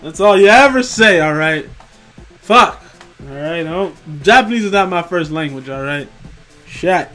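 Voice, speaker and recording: A man's voice: a little high, animated, a little slow, pushed and bright, soft background music. A young man rambling casually about collectible figures, profane and tired after work, tripping over names. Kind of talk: casual commentary over screen images. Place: home room.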